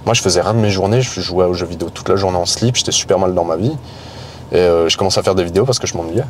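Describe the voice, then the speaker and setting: A man's voice: low voice, racing, slight background noise. A man in his thirties chatting casually as a guest in a car, rambling in a loose, slangy way. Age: 30s. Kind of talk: casual chat. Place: inside a car in city traffic.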